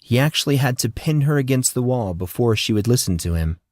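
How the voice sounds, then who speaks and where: A man's voice: medium pitch, expressive, brisk, darkish tone, no echo, studio quality. A man narrating scripted fiction as an audiobook storyteller.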